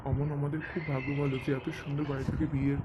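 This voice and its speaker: A man's voice: mid-range voice, flat delivery, very fast, close to an everyday voice. A man voicing a doting, insistent father in an amateur cartoon dub, speaking. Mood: pleased, earnest. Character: doting father of a village girl, quick to scold his wife. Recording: noisy amateur home recording.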